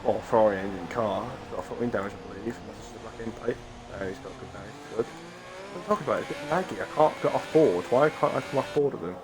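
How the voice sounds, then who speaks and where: A man's voice: lowish voice, fast, background noise. A man with a cough and a sore throat from a cold, giving rambling, chatty live commentary on an online racing league and often breaking off mid-thought. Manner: rambling, chatty, self-interrupting. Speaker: race commentator.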